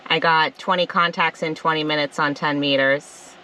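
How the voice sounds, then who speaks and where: A woman's voice: deep voice, fairly even intonation, moderate pace, pushed and bright, slight background noise, low-quality recording. A woman chatting casually as a fellow radio operator in a vlog. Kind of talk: brief casual replies.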